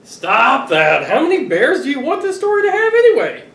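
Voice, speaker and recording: A middle-aged man reading a picture book aloud to children, expressive and playfully exasperated. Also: very high voice, normal speed. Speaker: father reading to his children. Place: living room sofa, indoors. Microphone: camera a few feet away. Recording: roomy, distant home recording with noise.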